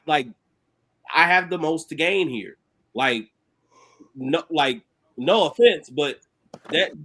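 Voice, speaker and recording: A man in casual sports debate on a podcast, animated and slangy, cursing and laughing as he argues. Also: on the high side. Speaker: podcast panelist.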